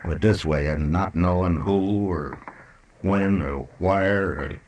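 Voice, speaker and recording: An actor playing a weary, authoritative Old West marshal in a radio western, with terse, dry delivery. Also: male voice, low-pitched, slow pace, darkish tone. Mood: weary, tense. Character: stoic, weary, authoritative frontier town marshal. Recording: noisy vintage radio drama recording.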